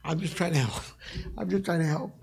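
A middle-aged man with a raspy voice, preaching a sermon in a church as a guest evangelist, passionate and conversational, joking with the congregation. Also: a little high, fairly quick, a little mellow. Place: church sanctuary.

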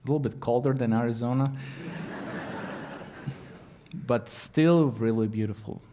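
Man, Latvian accent, guest preacher, halting earnest English, lots of fillers, mid-pitched voice. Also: warm tone. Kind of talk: sermon.

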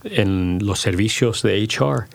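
Halting low-voiced man with gravelly tone. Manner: halting, many restarts, switches into English. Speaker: podcast guest, company founder. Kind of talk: casual bilingual interview.

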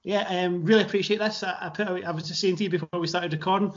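A man's voice: high voice, fairly even intonation, quick pace, lo-fi sound. A man hosting a casual podcast interview, chatty with fillers and restarts. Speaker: podcast host.

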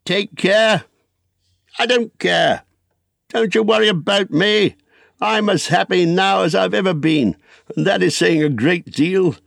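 A man's voice: on the high side, lively intonation, unhurried, vocal fry, no room sound, studio quality, voice pushed up, heavily acted. A man voicing a fussy, wistful, elderly hobbit in a storybook, with polite, rambling, exclamatory speech. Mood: excited, happy. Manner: polite, rambling, exclamatory old-fashioned English.

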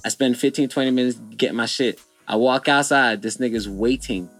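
A man's voice: medium pitch, a little fast, fairly bright tone, faint music behind. A young man from Philadelphia, a guest in a casual chat, telling a story in a laid-back, slangy way.

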